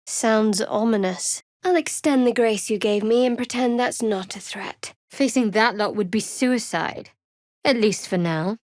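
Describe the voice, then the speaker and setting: A woman playing a guarded, sardonic young female cleric of a dark goddess in a video game, with a dry, wry delivery. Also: fairly high pitch, no room sound. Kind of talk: video game character dialogue. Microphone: close studio microphone, dry.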